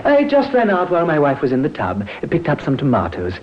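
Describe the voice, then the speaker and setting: Solicitous, smooth-talking radio-drama bridegroom, muffled vintage recording. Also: male voice, on the high side, wildly swooping pitch, brisk, noisy background. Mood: attentive, casual. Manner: solicitous, theatrical. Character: smooth-talking bridegroom, a scheming killer feigning devotion. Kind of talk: old-time radio drama acting.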